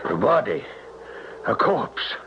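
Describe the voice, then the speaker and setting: An actor playing a wary older countryman with a gravelly voice in a 1970s radio drama. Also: on the low side, big pitch swings, slow pace, heavily acted. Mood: awed, uneasy. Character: wary older countryman, father of a grown son. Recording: muffled noisy 1970s radio recording.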